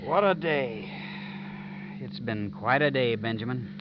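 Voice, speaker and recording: A man's voice: medium pitch, animated, unhurried, noisy background, close to an everyday voice. Earnest young soldier, played by a man, vintage radio. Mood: tired, reflective. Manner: earnest, dramatic. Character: weary, emotional young soldier wrongly suspected of murder. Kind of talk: 1950s radio drama acting. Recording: muffled vintage radio recording with music.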